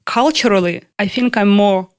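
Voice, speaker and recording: A woman's voice: medium pitch, normal speed, darkish tone, clean tone. A young woman from Ukraine with a Russian accent, a native Russian speaker, explaining her career as an interview guest. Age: young adult. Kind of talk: conversational interview.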